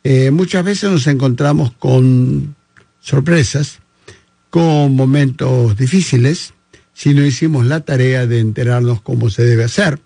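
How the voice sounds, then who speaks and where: A man's voice: medium pitch, measured pace, vocal fry, warm tone, husky, no room sound. A man hosting a casual interview in Spanish, talking with many hesitations and fillers. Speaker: podcast host. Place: studio with phone on table.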